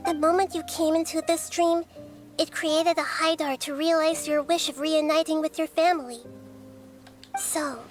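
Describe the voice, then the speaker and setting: An actress voicing a wise, young-sounding female god who speaks in a gentle, measured, reasoning way, in game audio with music behind. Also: sits high, fairly even intonation, close to an everyday voice. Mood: calm.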